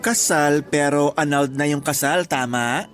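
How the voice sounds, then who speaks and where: An actor voicing a woman who is a teasing, blunt office friend, with exaggerated, drawn-out delivery in a radio drama. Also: low voice, soft background music, natural voice. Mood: blunt, concerned. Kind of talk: Tagalog radio drama dialogue.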